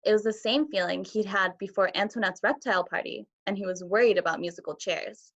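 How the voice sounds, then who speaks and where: Mid-pitched woman reading aloud, muffled sound. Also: a little fast, a bit bright. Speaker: teacher reading aloud to children. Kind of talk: children's picture book read-aloud. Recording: dull lo-fi audio.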